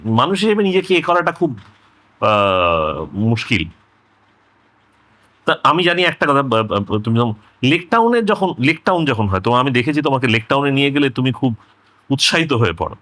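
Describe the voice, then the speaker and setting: A man asks questions in a casual, familiar style. His voice is bright and forceful, with a very dry sound. He rephrases as he goes and drops in English words. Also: medium pitch, big pitch swings, normal speed, long pauses, no room sound. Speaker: interviewer.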